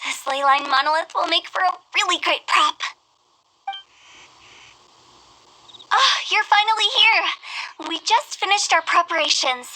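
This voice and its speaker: A woman's voice: very high-pitched, moderate pace, sharp tone, exaggerated voice, low-quality recording. A woman playing a playful, confident young funeral director in an anime-style fantasy game, with a theatrical, knowing manner. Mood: brisk, showy.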